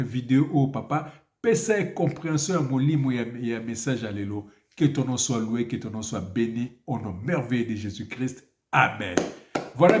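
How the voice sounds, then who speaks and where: A priest preaching a video sermon, with a cold and an emphatic style full of rhetorical questions. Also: male voice, medium pitch, darkish tone. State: has a cold. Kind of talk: video sermon with prayer and Bible reading. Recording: echoey room.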